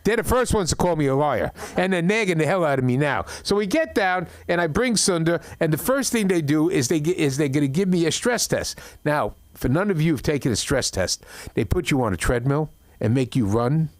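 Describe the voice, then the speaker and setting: A middle-aged man doing an open mic stand-up set, rambling through stories with stammered restarts and deadpan complaints. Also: on the high side, brisk, dry recording. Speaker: stand-up comedian. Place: comedy club open mic.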